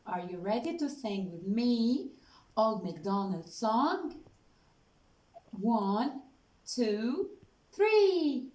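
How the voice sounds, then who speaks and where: A woman's voice: fairly high pitch, very animated, drawn-out words, pauses often, mellow. A woman hosting a puppet show and sing-along session, speaking simply and directly to small children. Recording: echoey room.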